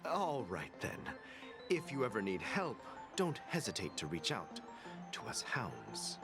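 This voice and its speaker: An actor playing a friendly, dutiful security officer in a video game, courteous, formal and earnest. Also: male voice, fairly low pitch, huge pitch swings, unhurried, full character voice. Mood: courteous concern. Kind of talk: scripted video game voice acting. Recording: background music behind the voice.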